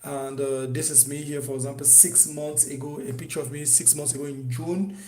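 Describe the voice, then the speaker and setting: A man from Cameroon teaching an online business course, talking in an animated way with many restarts and fillers. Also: a little high, moderate pace, roomy sound, soft background music. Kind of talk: unscripted course presentation.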